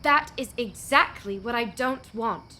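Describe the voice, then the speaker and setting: An actress as a proud young woman in a radio play, guarded and bitter, her voice bright and forceful. She speaks in terse, defiant bursts over a clear background noise. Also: a little high, animated, unhurried. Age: young adult.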